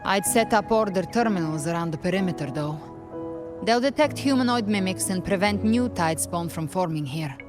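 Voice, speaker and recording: Curt confident warrior woman actress voicing, lowish voice, game story scene with music behind. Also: lively intonation, normal speed, natural voice. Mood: curt, commanding. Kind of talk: dramatic game voice acting.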